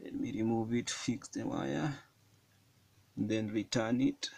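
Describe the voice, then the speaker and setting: A man giving a hands-on electronics tutorial at a table, explaining each step slowly with frequent fillers. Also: medium pitch, steady tone, vocal fry, darkish tone, husky. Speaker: electronics hobbyist demonstrating a circuit. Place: indoors at a wooden table.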